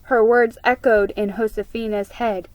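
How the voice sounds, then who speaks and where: A woman giving a dramatic, expressive reading of a children's story, with a slightly halting delivery. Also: a little high, no echo, lo-fi sound. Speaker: amateur story reader. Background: music under the reading.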